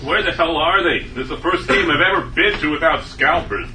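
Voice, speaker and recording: A man's voice: a little high, a little fast, pushed and bright. A man playing a stubborn, exasperated ticket hunter in a sitcom table read, with a blunt delivery. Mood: frustrated. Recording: echoey room, distant mic, background noise.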